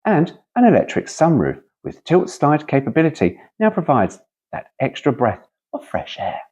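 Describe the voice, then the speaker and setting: A man's voice: on the high side, huge pitch swings, moderate pace, a little mellow, husky, dull audio. A man hosting a car vlog, chatting casually and reading brochure copy aloud, with playful, rambling asides. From United Kingdom. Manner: rambling, playful, frequent self-interrupting asides.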